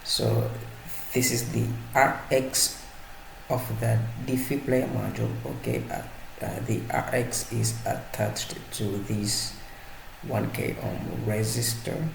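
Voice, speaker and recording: A man giving a casual, unscripted hands-on tutorial as presenter, halting as he searches for words and repeats himself. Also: medium pitch, flat delivery, creaky. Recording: roomy echo, faint background noise.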